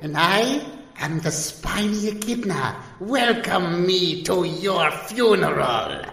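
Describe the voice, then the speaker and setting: Man as a slow, swooping, haughty echidna. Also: high-pitched, expressive, gravelly, fairly bright tone, exaggerated voice. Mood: grand, aloof. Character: haughty spiny echidna visiting rodent duel. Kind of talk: improvised audio comedy. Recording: echoey hall with long reverb.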